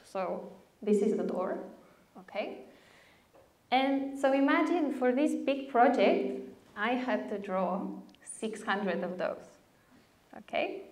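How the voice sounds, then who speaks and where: A woman's voice: a little high, expressive, slow, pauses often, a little mellow. A woman in her thirties from Bulgaria giving an enthusiastic conference talk at a podium mic in a large lecture hall, often checking in with the audience. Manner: enthusiastic, chatty, asks the audience with "right?" and "okay?". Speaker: speaker. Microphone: podium mic on a stand. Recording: roomy hall sound.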